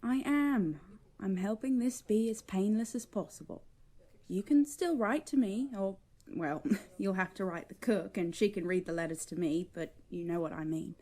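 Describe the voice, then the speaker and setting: Woman playing a mellow, motherly royal maid. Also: medium pitch, normal speed, close to an everyday voice. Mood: sympathetic. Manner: soothing, motherly, folksy. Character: kindly, proper, folksy royal maid. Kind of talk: fantasy audio drama acting.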